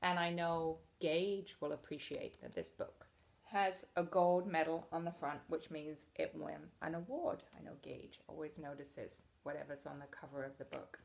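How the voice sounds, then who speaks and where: A woman teacher reading a storybook aloud to children expressively, pausing to explain things in simple words. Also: deep voice, steady tone, creaky.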